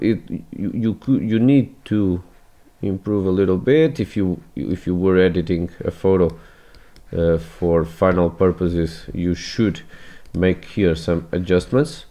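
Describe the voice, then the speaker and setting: A man whose first language is Portuguese walks through a photo edit in a screen-recorded tutorial, halting and restarting his phrases as he goes. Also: deep voice, darkish tone. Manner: hesitant, many restarts, non-native phrasing.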